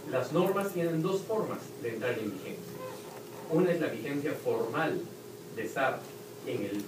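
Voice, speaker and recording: A man with a Peruvian accent speaking on a university panel, explaining points with examples and occasional restarts. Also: a little high. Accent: Peruvian Spanish. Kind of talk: academic panel talk. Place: lecture hall at a university event. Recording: roomy, distant hall recording with noise.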